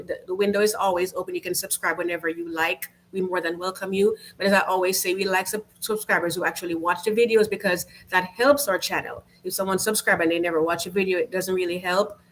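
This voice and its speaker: A woman's voice: lowish voice, fairly even intonation, fast talker, few pauses, slight background noise, low-quality recording. A woman from Jamaica with a Jamaican accent, hosting a casual home livestream, reading viewer comments aloud in an upbeat, chatty way full of fillers. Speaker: YouTube channel host.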